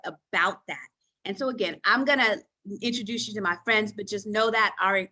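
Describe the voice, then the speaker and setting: A woman's voice: mid-range voice, bright, forceful tone. A woman hosting a nutrition panel on a call, giving an emphatic motivational talk, repeating her words and calling her listeners sister friends.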